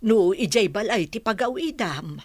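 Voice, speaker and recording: A woman's voice: mid-range voice, animated, normal speed, dry recording, studio quality, close to an everyday voice. A woman with an Ilocano accent playing a concerned grandmother in a radio drama. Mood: concerned, gentle. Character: grandmother consoling her troubled daughter and grandchild.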